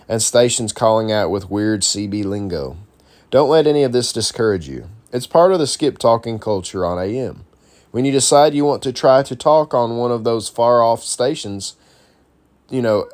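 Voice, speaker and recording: A man presenting an instructional guide, reading a script aloud in an informal, explanatory way. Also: medium pitch. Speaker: presenter of a CB radio how-to guide.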